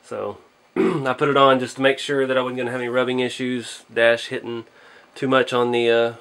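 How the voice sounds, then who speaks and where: A man's voice: medium pitch, flat delivery, moderate pace, a bit bright. A man hosting a casual hobby build vlog, speaking in a modest, hesitant way as he thinks aloud. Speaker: hobby channel host.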